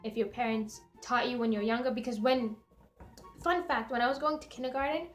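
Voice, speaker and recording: Young woman, native Somali speaker, high pitch, informal vlog chat, opinionated storyteller, light background music. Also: fairly even intonation, a little fast. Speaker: vlog host. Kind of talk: casual chatty vlog. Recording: light music bed behind voice.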